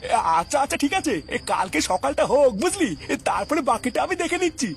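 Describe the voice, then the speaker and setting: A man's voice: very high voice, big pitch swings, fast, creaky, soft background music, full character voice. A man voicing a fatherly old grandfather in a cartoon, hesitant, coaxing and soothing. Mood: gentle, concerned.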